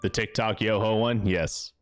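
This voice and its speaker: A man with a deep, smooth voice giving casual live commentary as he plays a game, chatting away to his characters. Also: steady tone, a little slow. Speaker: game streamer, host. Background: music.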